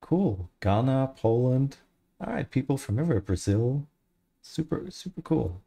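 Man, 30s, mixed Australian-Irish-American accent, gravelly, mellow tone, casual livestream chat. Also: medium pitch, normal speed. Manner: relaxed, rambling, answers chat questions. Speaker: livestreamer, 3D artist. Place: home studio, indoors. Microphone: headset.

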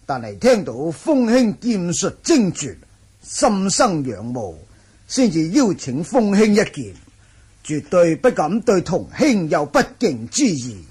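A man's voice: fairly high pitch, very animated, slow pace, a little mellow, breathy edge, full character voice. A man acting a polite martial-arts manor lord in a Cantonese radio drama, formal and deferential.

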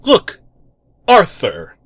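A man's voice: high-pitched, huge pitch swings, extremely slow, a bit bright, breathy edge, dry recording, clean studio sound, voice pushed up, heavily acted. A man voicing an enthusiastic, dim-witted superhero who makes grandiose proclamations in a comic story reading. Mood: rousing, heroic.

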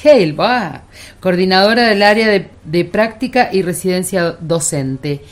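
Low-voiced Argentine woman radio interviewer. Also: animated, measured pace. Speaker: radio host introducing guests. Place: radio studio.

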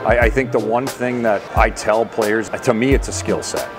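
A man's voice: lowish voice, moderate pace, music in the background. A man in his forties, a hockey coach, giving earnest, emphatic conversational answers in an interview and repeating key phrases. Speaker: college hockey head coach. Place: indoor room at a table by a window.

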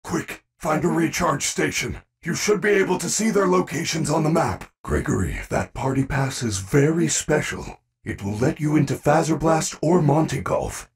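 A man's voice: fairly high pitch, lively intonation, moderate pace, gravelly, few pauses, breathy edge, recorded from a distance, exaggerated voice. A man voicing a friendly, caring animatronic bear in a video game, speaking in formal, precise, earnest sentences. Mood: earnest, instructive. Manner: formal, precise wording without contractions, earnest. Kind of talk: video game character voice acting.

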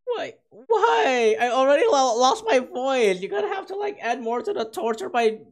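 A streamer who has talked far too long, the voice rough, hoarse and cracking at the edges. The delivery is excitable and gushing, with a self-mocking streak as they keep thanking viewers. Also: high-pitched, normal speed. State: hoarse, losing voice. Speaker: livestreamer. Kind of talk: casual stream chat with viewers.

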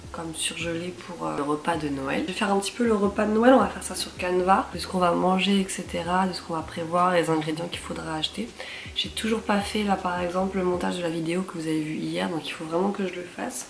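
A young woman vlogging from her living room, hoarse from a cold, chatty and self-mocking with lots of fillers. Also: lowish voice, a little hiss or hum. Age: young adult. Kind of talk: casual vlog chat to camera.

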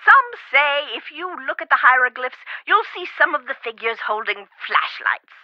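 A chatty, whimsical older woman who rambles about food and puzzles. Her voice sits high and swoops around a lot. It comes through a dull, phone-like line with no room sound. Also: sing-song, normal speed, no room sound. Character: fussy food-loving older woman helper. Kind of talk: game voice acting.

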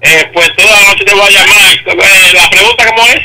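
A man's voice: sits high, fairly quick, noisy background. A man calling in to a TV show by phone, hesitant and full of fillers. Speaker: caller. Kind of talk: phone-in caller. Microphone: telephone line.

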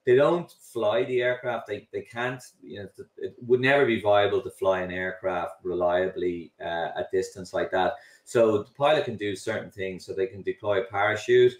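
A man's voice: lowish voice, steady tone, normal speed, few pauses. A middle-aged Irish man, a company founder, explaining his work in a video call interview, often restarting and repeating words. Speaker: drone delivery company founder, guest. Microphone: webcam and computer mic on a video call. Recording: roomy video call audio, slightly distant.

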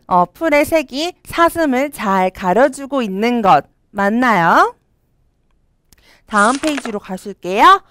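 A young woman teaching children English from a storybook, reading each line slowly and clearly and then explaining it. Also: a little high, a bit bright, dry recording, studio quality. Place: studio with a green screen.

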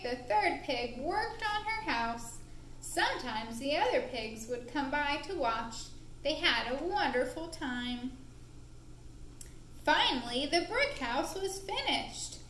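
A woman narrating a puppet show for young children in an expressive, deliberate, playful way, recorded in an indoor room. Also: high-pitched. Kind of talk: animated storytelling for young children. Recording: roomy echo with faint background noise.

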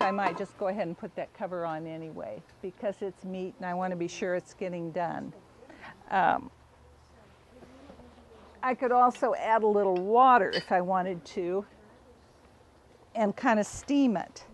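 A middle-aged woman giving a casual, unhurried cooking demonstration, explaining informally as she works outdoors. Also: medium pitch, a little hiss or hum. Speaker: home cook demonstrating a recipe. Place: outdoors in a backyard garden.

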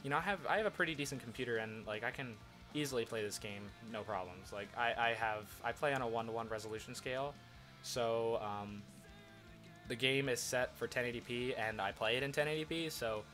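A man's voice: medium pitch, fairly quick, pushed and bright, soft background music. A young man with a stuffy head cold, talking casually and rambling with many fillers as he hosts his gaming channel from a desktop mic at home. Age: young adult. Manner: rambling, lots of fillers and restarts. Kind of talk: casual commentary over gameplay. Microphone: desktop USB microphone.

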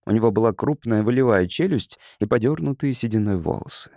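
Man narrating an audiobook, lively intonation. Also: mid-range voice, brisk, no echo, studio quality. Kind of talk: scripted literary narration, reading a science fiction story.

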